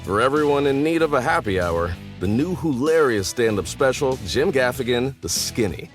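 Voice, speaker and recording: A man delivers a scripted advert voice-over. His intonation moves around a lot. Plucked guitar music plays clearly behind him. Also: mid-range voice, lively intonation, moderate pace. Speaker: advert announcer.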